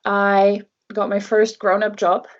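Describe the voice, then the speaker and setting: A woman's voice: medium pitch, completely flat delivery, slow pace. Travel blogger guest: young female, native Norwegian speaker, mid-range voice, conversational, somewhat muffled audio. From Norway. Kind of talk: conversational interview answers.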